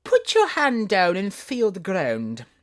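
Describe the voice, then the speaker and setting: A proud, impatient tiger lily flower, heard as a storyteller puts it on in a high, loud, slow voice that swoops widely. It scolds and lectures, every word stretched out. A storybook reading. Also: wildly swooping pitch. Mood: haughty.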